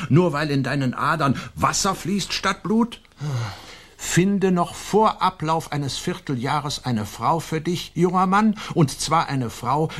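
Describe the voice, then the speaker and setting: A domineering old nobleman in a radio drama. His voice is husky and fairly high for a man, swinging widely in pitch. He speaks imperiously and emphatically, as a stern father used to being obeyed. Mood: scolding. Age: older. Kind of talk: German radio play acting.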